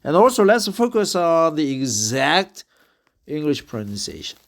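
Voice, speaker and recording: Tipsy Korean man in his fifties, halting. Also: a little high, very animated. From South Korea. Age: 50s. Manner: halting, many fillers and restarts. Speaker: office worker practicing English. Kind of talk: casual monologue, reading aloud.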